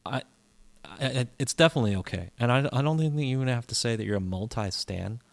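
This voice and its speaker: A man's voice: medium pitch, expressive, fast talker, gravelly. A man co-hosting a casual podcast chat, opinionated and rambling, often restarting his sentences. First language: English. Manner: opinionated, rambling, repeats himself, frequent restarts. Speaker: podcast co-host.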